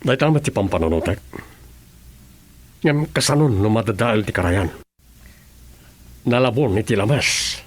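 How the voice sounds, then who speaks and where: A troubled official in a Filipino radio serial. His voice is low and rough-edged, and he talks in a serious, deliberate way. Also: animated. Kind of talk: radio drama acting.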